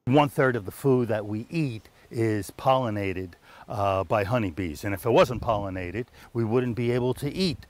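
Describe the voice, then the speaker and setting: A man's voice: lowish voice, noisy background. A middle-aged man, a beekeeper explaining his work in casual, rambling speech. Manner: chatty, lots of fillers and restarts. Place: outdoors in a garden.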